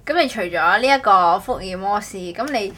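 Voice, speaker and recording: A woman's voice: medium pitch, normal speed, bright, forceful tone, slight background noise. A woman with a Cantonese accent hosting a casual chat podcast, bantering in a teasing, playful way. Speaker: host of a daily chat podcast.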